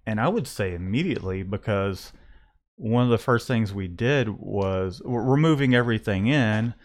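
A man in his thirties, a print shop owner, talking casually about his business into a close studio mic, rambling and restarting his thoughts. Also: on the low side. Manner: rambling, restarts often, thinks aloud. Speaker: screen printing shop owner and podcast host. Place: small print shop room. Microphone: studio condenser mic close up, headphones.

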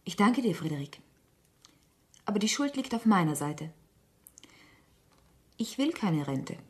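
An actress playing a composed, candid wife in a German radio drama, speaking quietly and deliberately, with restraint. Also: female voice, mid-range voice, big pitch swings. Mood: cool, firm. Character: composed, candid wife leaving her rich husband.

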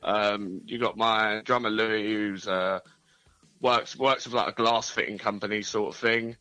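Bright-voiced band guest. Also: male voice, fairly low pitch, a little slow, no echo, dull audio. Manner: relaxed, hesitant, lots of fillers. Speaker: band member, interview guest. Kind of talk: casual interview. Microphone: phone line.

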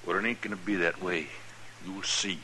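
Lowish, harsh-edged frontier troublemaker. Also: male voice, steady tone, moderate pace, sharp tone, low-quality recording. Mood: resentful. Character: surly, pushy frontier troublemaker. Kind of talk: 1950s radio western acting. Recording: hissy 1950s radio recording.

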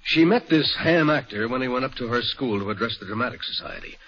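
A man's voice: mid-range voice, animated, quick pace, fairly bright tone, lightly acted. An actor playing an earnest, insistent stockbroker father in a 1940s radio drama. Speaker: client. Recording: dull old radio broadcast.

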